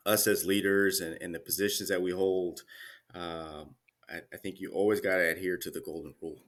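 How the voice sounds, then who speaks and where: A man in his thirties, a guest chatting casually in an interview, relaxed with many fillers and restarts. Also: low-pitched. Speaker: Army career manager guest.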